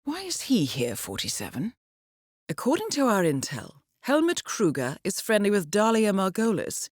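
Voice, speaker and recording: A woman's voice: medium pitch, wildly swooping pitch, normal speed, dry recording, studio quality. An actress playing a cool-headed female intelligence handler in a video game, giving scripted mission briefings in a composed, formal, dryly understated way. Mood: poised briefing.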